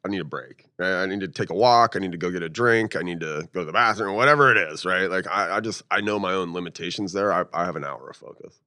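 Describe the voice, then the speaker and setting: A man's voice: low voice, quick pace, bright, forceful tone, no echo, studio quality. A man speaking casually as a panelist on a podcast. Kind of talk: casual chat.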